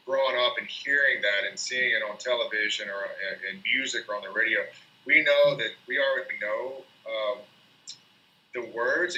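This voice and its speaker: A man's voice: medium pitch, sharp tone, echoey room, lo-fi sound. An American man who works as an English tutor, chatting casually and hesitantly, with frequent restarts and fillers. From United States. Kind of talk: casual conversation.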